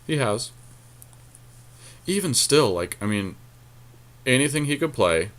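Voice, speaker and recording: A man's voice: mid-range voice, very animated, measured pace, a bit bright. A man giving casual gameplay commentary as a podcast co-host, thinking aloud through tactical options. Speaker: podcast co-host and card game player.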